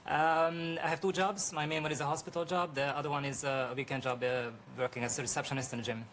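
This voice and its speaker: A Hungarian man with a bright, fairly high voice tells a personal story. His accent is Hungarian and his delivery is casual and conversational. Faint studio noise runs behind him. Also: little pitch movement, fast. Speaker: audience guest telling a story. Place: television studio.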